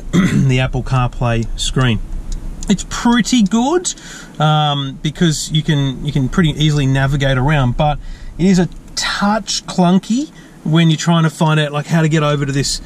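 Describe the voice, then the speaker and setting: An Australian man casually showing off a car's digital dashboard, relaxed and chatty with frequent ums and restarts, recorded inside a parked car. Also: on the high side, slight background noise. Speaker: tech reviewer. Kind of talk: casual car feature walkthrough.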